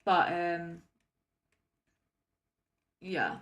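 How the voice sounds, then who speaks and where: Woman host for a reaction vlog: crisp, bright voice, roomy echo, playful and chatty. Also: fairly low pitch, lively intonation, drawn-out words. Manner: chatty, playful, wanders between hype and mumbled asides. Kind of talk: casual reaction chat. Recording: roomy echoey room.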